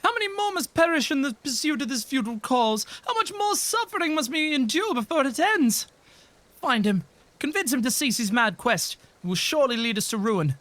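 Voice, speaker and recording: A man's voice: extremely high pitch, big pitch swings, fairly quick, fairly bright tone, dry recording, pitched above the performer's own voice, full character voice. A man voicing a grave, anguished video game character, speaking in an earnest, formal, theatrical way. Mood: grave, pleading. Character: grave, anguished game character pleading against a futile cause. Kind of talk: dramatic read-aloud of video game dialogue.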